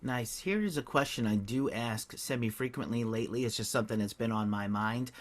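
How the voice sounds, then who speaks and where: A man's voice: mid-range voice, fairly quick. A man hosting an interview, asking casual questions in an enthusiastic, loose way full of fillers. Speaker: interview host.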